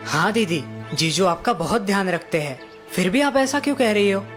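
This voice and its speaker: A woman's voice: mid-range voice, expressive, normal speed, faint music behind, pitched below the performer's own voice, lightly acted. A woman voicing a caring teenage younger brother who speaks earnestly to his sister. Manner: earnest, protective.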